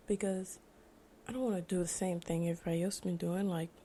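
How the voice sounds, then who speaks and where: American woman, twenties, mellow tone, slightly low pitch, informal reflective podcast chat, noisy background. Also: fairly even intonation, fairly quick. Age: 20s. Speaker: podcast host. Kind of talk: casual solo podcast monologue. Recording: noticeable background noise.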